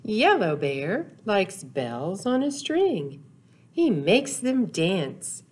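A woman's voice: mid-range voice, sing-song, very slow, a little mellow, husky. An older woman presenting storytime for small children, talking and reading aloud in short, simple phrases with playful sound words. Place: small indoor room, seen seated before a wall.

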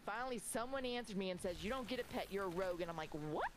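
A woman's voice: mid-range voice, animated, racing. A woman in her forties chatting casually and telling stories as she games, animatedly acting out remembered dialogue. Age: 40s. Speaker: game streamer. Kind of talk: casual storytelling chat while gaming. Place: indoor home streaming room. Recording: clear background game noise.

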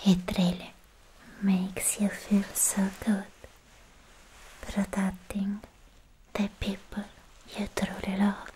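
A young woman whispering an ASMR roleplay as a sweet, protective, lovestruck demon slayer, softly and haltingly, close to the mic. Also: medium pitch, completely flat delivery, lightly acted, rough recording. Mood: protective, tender. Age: young adult. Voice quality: whispery, breathy soft voice. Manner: slow, halting, affectionate murmuring. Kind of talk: ASMR personal attention roleplay. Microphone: close microphone, whispering near it. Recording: faint hiss, mouth clicks, close-up.

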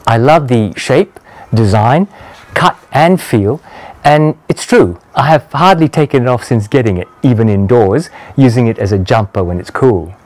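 A man in his sixties giving a casual product review to camera in a measured, understated, conversational way. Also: medium pitch, expressive, a little mellow, no room sound. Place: small walled garden patio, outdoors. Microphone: lapel mic.

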